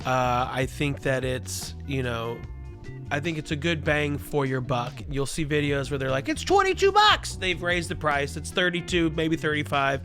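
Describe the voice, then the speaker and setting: A loud, chatty man giving a casual product tutorial, speaking into a lavalier mic clipped under his shirt. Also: fairly high pitch, expressive, normal speed, soft background music. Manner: loud, chatty, self-deprecating asides. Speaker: gear reviewer.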